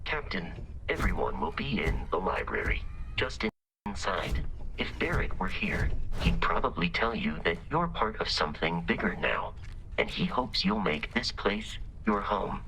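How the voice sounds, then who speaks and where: A man's voice: low-pitched, sing-song, measured pace, vocal fry, background noise, exaggerated voice. A man voicing a polite, formal robot in a video game, speaking precisely and literally. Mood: calm, informative. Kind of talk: scripted video game dialogue.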